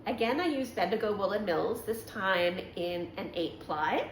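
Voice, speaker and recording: A chatty woman hosting a knitting podcast, her voice slightly raspy from allergies. She rambles along with frequent ums and corrects herself mid-thought. She is recorded in an echoey room with a faint hum behind her. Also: mid-range voice, animated, moderate pace. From Canada. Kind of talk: casual show-and-tell chat.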